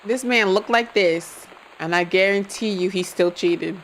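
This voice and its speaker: Expressive woman streamer. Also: mid-range voice, a little slow, slight background noise, poor audio. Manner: expressive, swears, reacts loudly. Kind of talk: live gameplay commentary.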